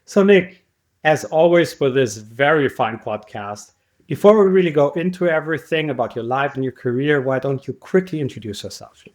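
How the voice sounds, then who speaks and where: A man's voice: mid-range voice, expressive, moderate pace. A man hosting a podcast, interviewing a guest in a conversational way. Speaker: podcast host.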